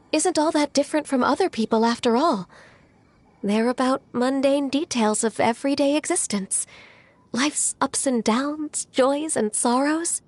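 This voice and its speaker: Game role: gentle half-human maiden, female actor. High, soft-spoken, modest, thoughtful, light score behind. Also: lightly acted. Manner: soft-spoken, polite, earnest. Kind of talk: video game character acting. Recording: music playing behind.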